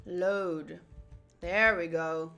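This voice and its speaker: A woman's voice: lowish voice, fairly even intonation, extremely slow, creaky, bright, forceful tone, soft background music. A woman livestreaming a visual novel playthrough, reading game text aloud and adding playful, chatty asides. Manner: playful, chatty, self-interrupting. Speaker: streamer playing a visual novel.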